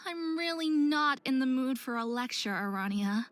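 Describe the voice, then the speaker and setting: A woman's voice: high voice, expressive, moderate pace. A young woman voicing a hot-headed, distraught young faerie skater in a visual novel game, with a dramatic, emphatic delivery. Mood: defensive. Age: young adult.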